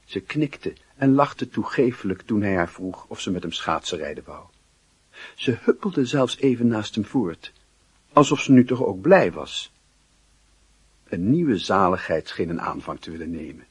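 Very husky, low-voiced male narrator. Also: expressive, a little slow, no room sound. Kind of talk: scripted literary radio drama narration.

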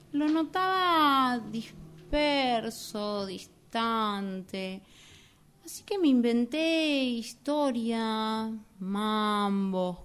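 A woman playing an earnest, talkative long-time therapy patient in an amateur radio play, with a Rioplatense Argentine accent and a halting, polite, apologetic manner. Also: on the high side, smooth. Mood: hesitant confession. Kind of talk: amateur radio theatre acting.

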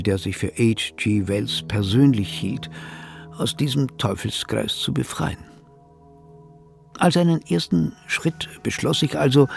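An actor in a radio play playing a polite, sceptical male psychotherapist who speaks in a measured, pedantic, formal way. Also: mid-range voice, gravelly, darkish tone. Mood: reflective narration. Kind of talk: German radio drama dialogue and narration.

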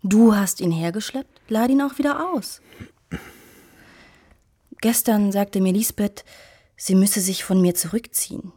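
A woman playing a seductive, scheming mistress in a radio drama, with a coaxing, theatrical delivery that often turns breathy. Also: mid-range voice, unhurried, pauses often, dark tone. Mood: cool, demanding. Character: seductive, scheming married mistress, flattering and calculating. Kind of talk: German radio play acting.

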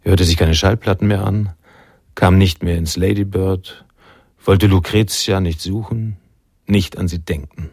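An actor playing a reflective, sombre noir narrator in a radio drama, telling the story in measured, literary sentences with a gravelly voice. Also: male voice, sits low, steady tone, a little mellow, no echo, studio quality, heavily acted.

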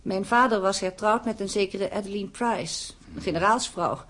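A woman's voice: mid-range voice, moderate pace, a bit bright, lightly acted. An actress playing an affectionate older aunt in a Dutch radio play, fussing and anxious in a motherly way. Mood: fond, reminiscing.

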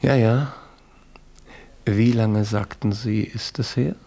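An actor in a German radio play as a suave, self-satisfied French marquis, courtly and flowery in his flattery. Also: on the low side, slow, gravelly, exaggerated voice. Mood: jovial, flattering. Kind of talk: German radio play acting, period drama.

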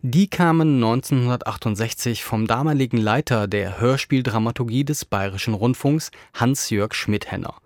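A man's voice: mid-range voice, moderate pace, few pauses, no room sound, studio quality. A man presenting on the radio, reading a scripted introduction.

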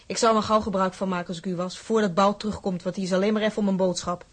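An actress playing a feisty, proud working-class wife in a radio drama, fiercely loyal, blunt and sardonic. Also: female voice, medium pitch, steady tone, fast, lightly acted. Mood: scornful.

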